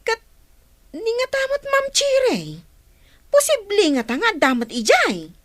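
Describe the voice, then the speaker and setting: A woman acting an excitable, inquisitive onlooker in a Philippine radio drama. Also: very high voice, measured pace, no echo, heavily acted. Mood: excited, speculating.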